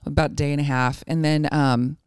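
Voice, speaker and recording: A woman's voice: deep voice, steady tone, brisk, darkish tone, clear, resonant tone, no room sound, studio quality. A woman in her forties chatting casually and candidly, with a self-deprecating way of talking. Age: 40s. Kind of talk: casual chat.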